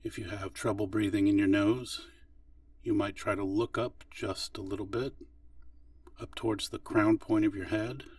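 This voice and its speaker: A man leading a guided body scan meditation as an instructor, speaking gently and unhurriedly with long pauses. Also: lowish voice, fairly even intonation, no echo, far from the mic.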